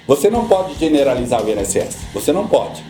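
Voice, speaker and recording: A middle-aged Brazilian man, a guest on a podcast, who explains pension rules patiently and often repeats his points to calm the listener. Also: medium pitch, fast talker, roomy sound, music in the background. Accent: Brazilian Portuguese. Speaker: social security law guest.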